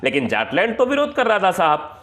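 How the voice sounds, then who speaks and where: A man's voice: high voice, huge pitch swings, fairly quick, fairly bright tone. A man presenting unscripted political commentary in an emphatic, colloquial manner, often posing rhetorical questions. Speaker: news presenter. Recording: echoey room.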